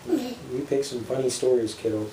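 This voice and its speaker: A man's voice: mid-range voice, dark tone. A man, a parent, reading a bedtime story aloud to young children at home, with casual asides. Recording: roomy home recording with background noise.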